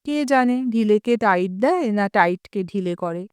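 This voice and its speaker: A woman's voice: mid-range voice, lively intonation, moderate pace, clean tone, no room sound, clean studio sound. A woman telling a humorous short story aloud, giving the quoted lines a little expression.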